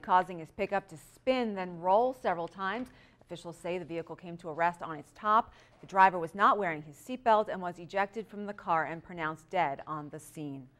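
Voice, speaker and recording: A woman's voice: medium pitch, fairly bright tone. A woman with an American accent reading scripted local news stories as an anchor.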